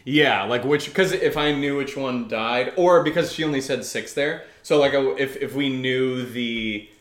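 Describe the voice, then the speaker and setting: A man co-hosting a podcast in casual banter, animated and playfully teasing as he answers listener questions. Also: mid-range voice, unhurried. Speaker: podcast co-host. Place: indoor room, live-streamed session. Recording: roomy, echoing room sound.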